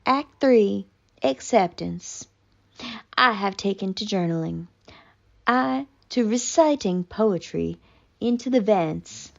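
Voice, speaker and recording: A woman voicing a haughty, melodramatic, traumatized female cat in a pompous, mock-tragic theatrical monologue with deliberate pauses. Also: medium pitch, gravelly, lo-fi sound. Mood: haughty, resolute.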